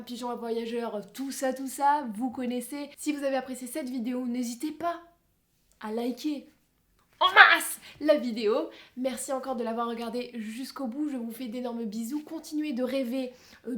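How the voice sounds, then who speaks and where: Une femme à l'français qui parle face caméra comme une vlogueuse, de façon exclamative, joueuse et théâtrale. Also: female voice, high voice, steady tone, moderate pace, a bit bright. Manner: exclamatory, playful, theatrical emphasis. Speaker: vlogger presenting a countdown. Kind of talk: animated casual chat to camera.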